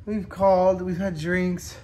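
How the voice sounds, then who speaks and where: A man's voice: high-pitched, little pitch movement, slow pace, dark tone. A young man chatting casually as a vlogger. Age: young adult. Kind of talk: casual vlog chat. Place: in a car, a restaurant and at home.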